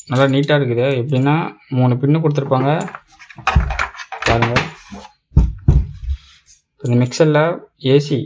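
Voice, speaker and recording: Tamil Nadu man, casual reviewer, noisy recording. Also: fairly high pitch. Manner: colloquial, repetitive, step-by-step explaining. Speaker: tech video reviewer. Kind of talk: casual product unboxing and demo. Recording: clear background noise, clicks.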